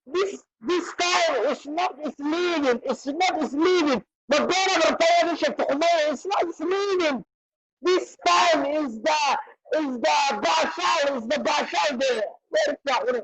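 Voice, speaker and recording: Loud high-voiced Somali-accented man. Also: poor audio. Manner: loud, halting broken English, repeats words, switches languages. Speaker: TikTok live streamer. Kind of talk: casual live-stream chat.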